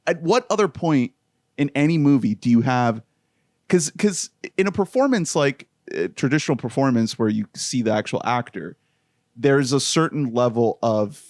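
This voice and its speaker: A man co-hosting a movie review podcast, laying out his points in a thoughtful, measured way. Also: mid-range voice, expressive, a little mellow, dry recording, studio quality. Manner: thoughtful, builds arguments slowly. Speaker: podcast co-host. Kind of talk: casual movie review chat.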